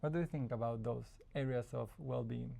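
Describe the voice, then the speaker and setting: A man from Argentina speaking as the guest in a casual interview. His voice sits in an ordinary middle pitch with a gravelly, creaky edge. He talks thoughtfully, pausing and restarting as he searches for the right words. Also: warm tone. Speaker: psychologist guest.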